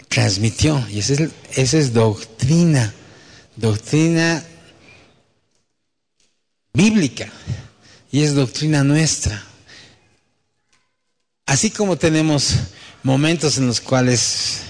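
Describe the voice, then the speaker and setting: A man's voice: mid-range voice, a little mellow. A man preaching as pastor to his church, speaking conversationally and often ending thoughts with a questioning tag. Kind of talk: sermon. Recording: long hall reverb.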